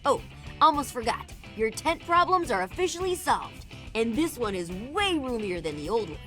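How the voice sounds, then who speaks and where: A young cartoon boy hero, cocky and playful. The voice is high and forceful, bouncing around in pitch. Every line has a flippant edge, with faint music behind. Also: very animated, moderate pace. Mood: pleased. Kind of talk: animated cartoon game acting.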